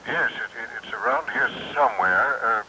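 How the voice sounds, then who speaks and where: A hissy old radio drama recording carries a genial, muddled male doctor. His voice is bright and very animated, fussing and hesitating as he hunts for words. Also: mid-range voice, moderate pace, poor audio. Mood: absent-minded. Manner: hesitant, absent-minded, fussy. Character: dithering, genial doctor, forgetful male headmaster type. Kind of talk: 1950s radio drama acting.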